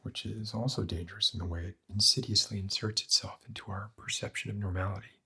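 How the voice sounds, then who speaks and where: A man playing a morbid, cynical doctor in an ASMR roleplay, lecturing in a pedantic, deliberate and bleak way with a husky voice. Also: steady tone, pushed and bright, exaggerated voice. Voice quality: husky, breathy, low. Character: morbid, cynical male doctor treating depression with despair.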